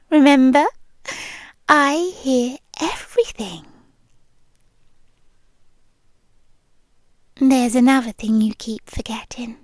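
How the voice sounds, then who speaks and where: A British woman playing an obsessive, possessive girlfriend in an intimate ASMR roleplay, softly menacing and teasing, sometimes slipping into a whisper. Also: sits high, no room sound, clean studio sound, exaggerated voice. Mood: teasing, intense. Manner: slow, teasing, softly menacing, with lingering pauses. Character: obsessive possessive yandere girlfriend, a menacingly affectionate voice in your head. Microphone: close microphone, dry sound.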